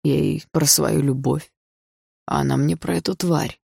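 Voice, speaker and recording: A woman's voice: a bass voice, moderate pace, vocal fry, darkish tone, breathy edge, no echo, clean studio sound, exaggerated voice. A woman voicing a menacing, obsessive and smug husband with an insinuating delivery in an audiobook. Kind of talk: audiobook character dialogue.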